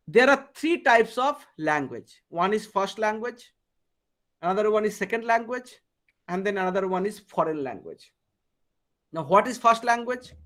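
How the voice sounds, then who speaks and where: High-voiced Indian English trainer. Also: male voice, fairly bright tone. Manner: asks the class questions, repeats words for emphasis. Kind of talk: live online workshop lecture. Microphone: video call.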